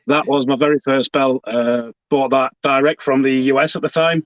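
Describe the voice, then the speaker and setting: A man's voice: medium pitch, fairly even intonation, no room sound. Plainspoken man, lo-fi call. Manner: halting, plainspoken, many fillers. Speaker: professional wrestler guest. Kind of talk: casual interview chat. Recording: dull lo-fi call audio.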